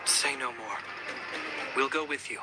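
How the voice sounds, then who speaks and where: An actor voicing an earnest, loyal, protective brother in a video game, speaking sincerely and deliberately. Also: male voice, medium pitch, lightly acted. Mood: supportive. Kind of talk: English video game voice acting. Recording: music behind the dialogue. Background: game music.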